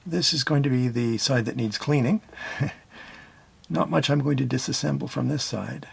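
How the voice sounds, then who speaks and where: Calm man narrating a repair, gravelly. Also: mid-range voice, moderate pace. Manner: calm, step-by-step instructive. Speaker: repair video host.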